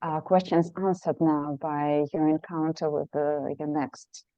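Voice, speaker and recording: A woman's voice: low-pitched, steady tone, a little slow, mellow, no echo, lo-fi sound. A woman in the audience asking a long, winding question after an academic talk, with many fillers. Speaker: audience member, language teacher.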